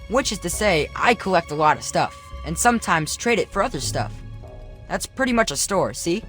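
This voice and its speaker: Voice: mid-range voice, big pitch swings, moderate pace, a bit bright, soft background music. An actor playing a cocky, scrappy boy shopkeeper in a video game, talking in a casual, slangy, streetwise way. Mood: cocky, curious. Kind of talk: video game character dialogue.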